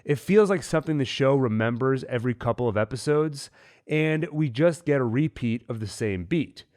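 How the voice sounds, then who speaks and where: A man hosting a TV recap, talking with a cold in a measured, analytical way. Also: medium pitch, lively intonation, darkish tone. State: has a cold. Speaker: host. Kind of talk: TV episode recap and theories.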